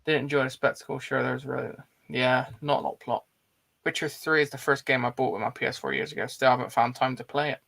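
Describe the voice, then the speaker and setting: A man's voice: mid-range voice, fairly even intonation, fast talker, vocal fry, fairly bright tone. A man, hosting a casual film chat and reading out live comments in an informal, blokey way. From Bristol, England. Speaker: host of a film discussion livestream.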